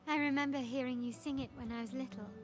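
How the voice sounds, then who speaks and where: Woman playing a refined young noble lady in a game, composed and measured, kinda high voice, music behind her. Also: steady tone, natural voice. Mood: fond, nostalgic. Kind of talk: video game voice acting.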